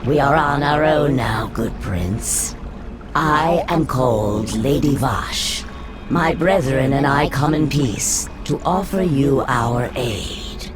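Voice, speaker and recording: A woman voicing a courteous but sly serpent sorceress with a silky, measured delivery in a fantasy video game. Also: rumbling low, animated, full character voice. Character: sly, courteous female naga serpent sorceress offering alliance. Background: loud game music.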